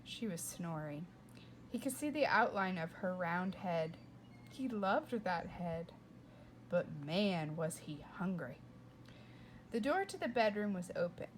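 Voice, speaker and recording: A woman reading a children's book aloud with expressive, playful and dramatic narration. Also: on the low side, slow, a little hiss or hum. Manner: dramatic, playful delivery with whispers and asides. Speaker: read-aloud storyteller.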